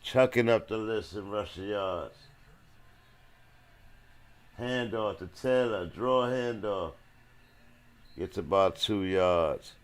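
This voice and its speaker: A man's voice: fairly low pitch, slow, long pauses. A man doing live American football play-by-play commentary in short, colloquial phrases. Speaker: play-by-play sports host.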